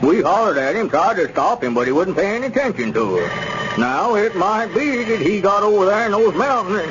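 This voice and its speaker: An actor playing an evasive small-town squire in a 1930s radio serial, blustering and protesting innocence in folksy phrases. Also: male voice, high-pitched, big pitch swings, moderate pace, over music. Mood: hedging, explaining. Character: evasive small-town squire peddling dubious mining stock. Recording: muffled, noisy 1930s radio recording.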